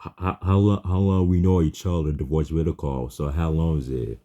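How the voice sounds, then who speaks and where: A man chatting casually in halting, repetitive, non-fluent phrases, often restarting his words. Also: a bass voice, fairly even intonation, mellow. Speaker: chatbot user. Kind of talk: casual spoken chat.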